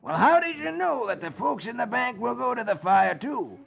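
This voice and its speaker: Creaky high-voiced fox crook. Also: male voice, very animated, moderate pace, a bit bright, heavily acted. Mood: puzzled. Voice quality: creaky, gravelly. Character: male cartoon fox crook, questioning sidekick. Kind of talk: 1950s radio comic-strip storytelling. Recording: muffled old-time radio recording.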